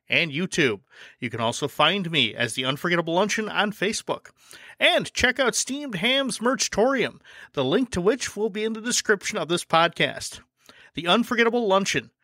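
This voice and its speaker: A man's voice: fairly high pitch, pushed and bright. A man hosting a podcast solo, giving casual, wry commentary with an informal, joking manner. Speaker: podcast host.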